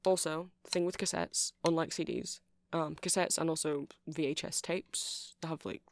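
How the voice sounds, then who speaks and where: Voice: medium pitch, steady tone, normal speed, no echo, clean studio sound, natural voice. A performer voicing a chatty, nerdy male vampire shopkeeper in an ASMR roleplay, rambling hesitantly and apologetically as they explain things. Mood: helpful, unsure. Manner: hesitant, rambling, self-correcting. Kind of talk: ASMR roleplay, casual rambling sales chat.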